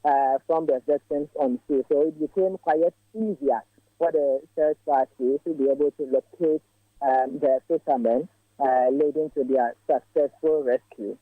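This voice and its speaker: A male field reporter, giving a live news update by phone in a measured way with frequent filler pauses. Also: a little high, steady tone, no echo. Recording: tinny dry phone line.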